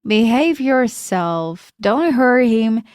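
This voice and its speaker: A woman's voice: a little high, lively intonation, takes it slowly, a little mellow, clean tone, no room sound, clean studio sound. A woman reading the lines of a pushy man making promises in a story. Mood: insistent.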